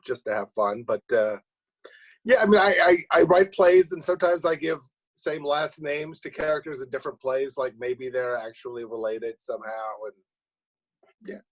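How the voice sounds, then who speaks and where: A man answering interview questions casually as a guest writer, in a hesitant, halting way. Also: medium pitch, low-quality recording. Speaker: playwright guest.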